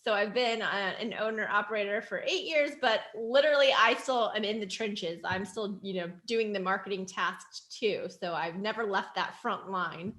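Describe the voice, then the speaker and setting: A woman's voice: mid-range voice, normal speed, few pauses, fairly bright tone. A middle-aged woman with a youthful, girlish voice, talking conversationally as an interview guest. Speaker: interview guest, agency founder.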